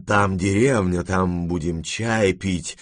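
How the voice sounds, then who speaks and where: A man's voice: low-pitched, fairly even intonation, takes it slowly, no echo, studio quality, voice pushed down. A man playing a flustered general in an audiobook, wheedling his aunt in a faltering, increasingly desperate way. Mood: desperate coaxing. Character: flustered pleading general, a nephew growing desperate. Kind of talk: dramatized audiobook reading.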